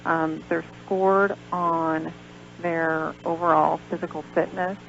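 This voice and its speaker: A woman's voice: sits low, flat delivery, takes it slowly, vocal fry, fairly bright tone. An American woman explaining things as a guest in a radio interview, in a hesitant, explanatory way. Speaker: guest, pageant organizer. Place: radio show. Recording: dull lo-fi radio recording with hum, noise.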